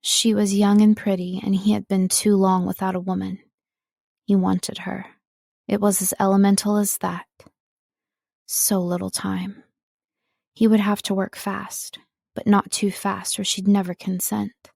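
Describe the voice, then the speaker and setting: A woman's voice: mid-range voice, little pitch movement, unhurried, vocal fry, a little mellow, no echo. Dry, close-sounding woman reading an audiobook. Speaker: audiobook narrator, voice-over artist. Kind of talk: scripted audiobook narration.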